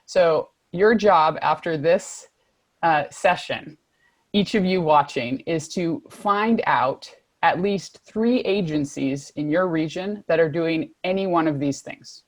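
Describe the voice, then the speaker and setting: A woman's voice: sits low, slow pace, no echo. A woman moderating a panel over a video call, walking through slides in an organized, directive way. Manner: organized, directive, listing points. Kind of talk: presentation with slides.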